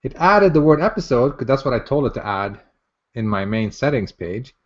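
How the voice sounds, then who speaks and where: A man's voice: mid-range voice, big pitch swings, moderate pace. Enthusiastic man presenting, dull lo-fi sound. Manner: informal, enthusiastic, chatty with viewers. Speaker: software presenter hosting a live online demo. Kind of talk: casual live product demo. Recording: dull, muffled lo-fi online audio.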